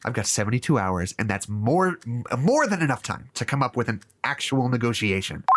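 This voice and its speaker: A man's voice: mid-range voice, big pitch swings, a little fast. A man in an audio drama playing a stressed, earnest researcher who tends to stammer and restart. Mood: agitated, defiant.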